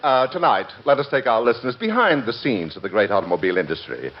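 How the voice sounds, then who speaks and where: A man's voice: sing-song, fast. A man as the announcer and straight man on a 1940s radio comedy show, giggling along with the host. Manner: giggly sidekick, pitchman in ads. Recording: dull old radio broadcast with faint noise.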